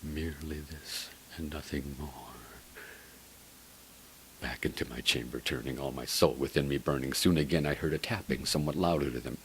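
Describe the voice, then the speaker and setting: A man giving a dramatic Gothic poetry recitation in a gravelly, theatrical voice that swings between hushed and loud. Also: a bass voice, steady tone, moderate pace, a little hiss or hum. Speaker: poetry reader.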